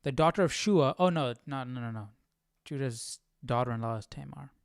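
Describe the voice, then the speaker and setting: A man's voice: medium pitch, normal speed, vocal fry. A man hosting a casual live Bible study, reading and puzzling aloud as he repeats phrases.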